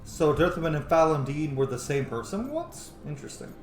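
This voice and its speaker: Roomy-sounding casual gamer, mid-low voice. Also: big pitch swings, a little fast, darkish tone. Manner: informal, sweary. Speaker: gamer playing and reacting. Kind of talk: casual gameplay commentary. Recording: roomy echo, faint music behind. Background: faint game music.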